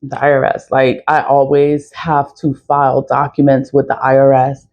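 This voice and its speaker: A woman with a raspy voice, venting bluntly and profanely in an early-morning vlog, repeating herself as she goes. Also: very deep voice, little pitch movement, moderate pace, highs cut off. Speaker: vlogger. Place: dark room before dawn.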